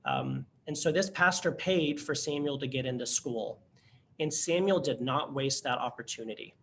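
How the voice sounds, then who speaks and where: A man's voice: medium pitch, normal speed, vocal fry, a bit bright, slight background noise. A man presenting a webinar to instructors, speaking earnestly and conversationally with frequent fillers and restarts. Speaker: workshop presenter.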